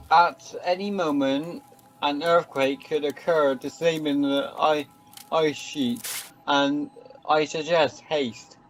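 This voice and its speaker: An actor playing a cynical, pedantic, humourless science officer who speaks formally and haltingly in a sci-fi radio play. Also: male voice, fairly high pitch. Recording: dull muffled lo-fi recording.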